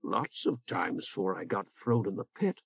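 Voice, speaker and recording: A male audiobook narrator voices a plain young man who talks quietly and simply. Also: sits low, animated, unhurried, gravelly, breathy edge, no echo, dull audio, pitched below the performer's own voice, exaggerated voice. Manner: soft-spoken, simple, blunt.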